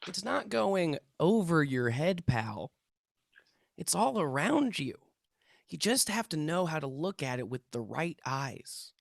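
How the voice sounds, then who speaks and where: A man's voice: fairly high pitch, big pitch swings, slow, gravelly, no room sound, studio quality, heavily acted. A man playing an intense, earnest young amateur paranormal investigator in a script read, self-important and conspiratorial. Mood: insistent, solemn. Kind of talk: table read of a TV pilot script.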